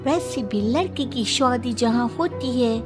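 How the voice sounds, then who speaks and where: A woman's voice: on the high side, animated, a little slow, over music. A woman voicing a sweet, naive young Chinese daughter-in-law in a cartoon, plaintive and easily indignant. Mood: earnest. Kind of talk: cartoon story character voice.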